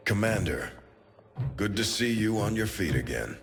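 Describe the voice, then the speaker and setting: An actor playing a businesslike, resolute military second-in-command in a video game, speaking in terse briefing style. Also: male voice, fairly low pitch, sing-song, moderate pace. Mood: resolute, stirring. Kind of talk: video game cutscene acting. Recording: long hall reverb, music behind. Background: music.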